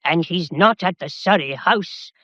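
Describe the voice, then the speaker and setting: An actress playing a proper, deferential English household servant with a formal, measured manner in a radio mystery drama. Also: female voice, fairly low pitch, a bit bright, no echo. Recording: dull, muffled lo-fi audio.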